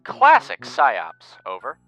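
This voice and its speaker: A man's voice: medium pitch, huge pitch swings, slow, bright, forceful tone, faint music behind, exaggerated voice, low-quality recording. An actor playing an earnest, paranoid boy acting as military mission control, speaking in clipped radio talk in a fan dub. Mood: smug, conspiratorial.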